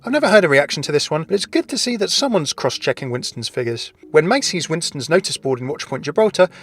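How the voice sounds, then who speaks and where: Fast-talking male gaming narrator. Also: a little high, big pitch swings, breathy edge. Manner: inquisitive, explanatory. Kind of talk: scripted lore commentary on a video game. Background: background music.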